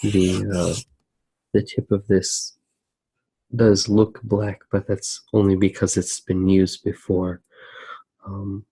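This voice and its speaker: A man gives a soft ASMR narration in a low, husky voice. He talks very slowly and hesitantly, feeling his way through unscripted thoughts in a hushed tone. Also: level tone, low-quality recording. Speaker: host of an ASMR drawing channel. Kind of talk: soft-spoken ASMR narration while drawing.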